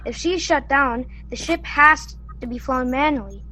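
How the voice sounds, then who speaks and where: Woman playing young techie colonist, high voice, careful and deliberate, sci-fi radio play, slight hum. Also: pushed and bright. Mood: determined. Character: young tech-minded colonist, cautious, deliberate problem-solver.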